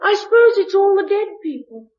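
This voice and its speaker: A woman's voice: very high voice, very animated, moderate pace, darkish tone, no echo, highs cut off. An actress playing a brave, matter-of-fact nine-year-old English girl in a radio drama, plain-spoken and earnest. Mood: frank, curious.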